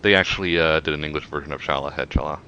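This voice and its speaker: Deep-voiced man with a bright edge. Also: racing, clear, resonant tone, highs cut off. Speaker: commentary host. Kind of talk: casual fan chat.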